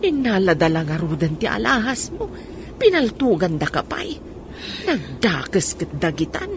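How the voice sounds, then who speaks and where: A woman's voice: lowish voice, sing-song, measured pace. A woman playing a concerned, sympathetic mother in an Ilocano radio drama, speaking in a fretful way. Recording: clear background noise and ambience.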